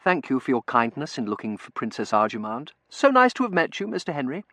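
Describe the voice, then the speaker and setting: Narrator voicing a cultured, kindly young woman, melodic pitch. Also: big pitch swings, brisk, no room sound, studio quality. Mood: courteous. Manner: quiet, cultured, polite, no baby talk.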